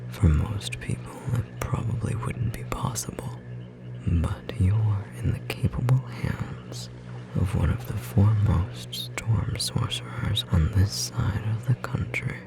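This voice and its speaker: A performer voicing a confident, tender storm sorcerer partner in a whispered ASMR roleplay, breathy and slightly rough, guiding slowly and soothingly. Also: sits low, expressive, music in the background, exaggerated voice. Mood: playful confidence. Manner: slow, soothing, intimate guiding delivery.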